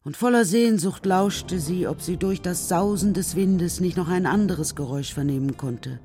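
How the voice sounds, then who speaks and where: A woman narrating a children's radio play in a measured, dramatic storytelling manner. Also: on the low side, mellow, faint music behind. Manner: measured, dramatic literary reading.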